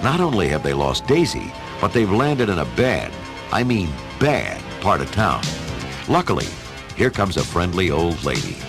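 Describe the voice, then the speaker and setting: A man's voice: low-pitched, wildly swooping pitch, normal speed, gravelly, over music, full character voice. A man narrating a radio play as a dramatic storyteller, with a folksy, wry manner.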